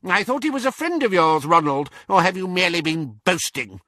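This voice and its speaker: A man's voice: sits high, animated, a little fast, sharp tone, dry recording, clean studio sound, voice pushed up. A man voicing a cantankerous, snobbish, very old witch in an audiobook, barking bluntly critical remarks. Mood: haughty, demanding. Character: cantankerous, imperious, snobbish 107-year-old great-aunt witch.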